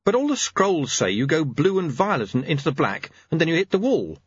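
A man's voice: a little high, big pitch swings, brisk, husky, no room sound, highs cut off, pitched above the performer's own voice. A man voicing an earnest, anxious young male apprentice who questions and doubts, as an audiobook character. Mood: puzzled.

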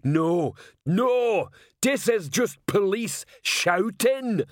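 A man voicing a big, gruff, bullying troll police sergeant in a storybook, with a growl, broken grammar and heavy sarcasm. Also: fairly high pitch, lively intonation, measured pace, no room sound, clean studio sound, voice pushed up. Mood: angry, shouting.

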